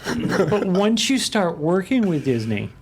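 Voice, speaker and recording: A man's voice: sits high, very animated, slow, breathy edge. Man talking casually on a panel. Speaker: podcast panelist. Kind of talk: casual chat.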